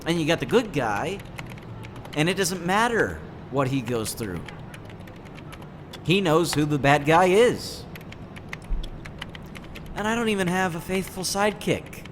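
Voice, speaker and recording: A man's voice: a little high, animated, measured pace, long pauses, slight background noise. A man playing a gloomy, sardonic teenage boy in a comic dub, with a dry, jaded, matter-of-fact delivery. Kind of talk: scripted comic-dub acting, first-person narration and dialogue.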